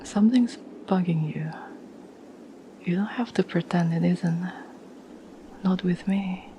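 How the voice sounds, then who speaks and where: Woman acting a slow, whispering, caring girlfriend. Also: on the low side, slight background noise, poor audio. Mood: concerned, coaxing. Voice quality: soft, breathy whisper. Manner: gentle, slow, soothing, reassuring. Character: caring, patient girlfriend gently reassuring an anxious partner. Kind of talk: whispered ASMR roleplay.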